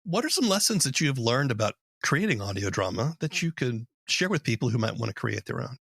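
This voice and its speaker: A man's voice: medium pitch, big pitch swings, a little fast, vocal fry, fairly bright tone, breathy edge, no room sound, studio quality. A man hosting a podcast, delivering scripted narration and interview questions in an orderly presenting style. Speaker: podcast host.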